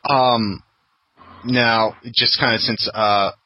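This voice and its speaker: A man's voice: mid-range voice, fairly even intonation, slow pace, sharp tone, no echo, poor audio. A man hosting a casual podcast chat, telling rambling anecdotes and laughing often. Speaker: podcast host.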